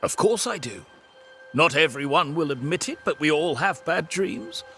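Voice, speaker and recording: An actor playing a wary travelling merchant squire in a period game, speaking in a theatrical, formal and florid way. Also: male voice, a little high, animated, normal speed, a bit bright, soft background music. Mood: uneasy. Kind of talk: dramatic period game acting.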